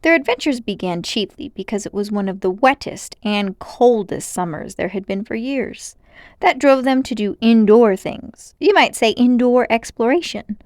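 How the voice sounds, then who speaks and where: A woman reading a children's story aloud as an expressive narrator. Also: on the high side, normal speed, no room sound, clean studio sound.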